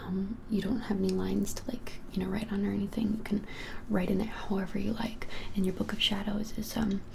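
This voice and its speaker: Casting: woman, 20s, breathy ASMR whisper, gentle hesitant shopkeeper roleplay, faint room hiss. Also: medium pitch, level tone, a little fast. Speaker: ASMR creator playing a witch shop keeper. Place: small plain room indoors.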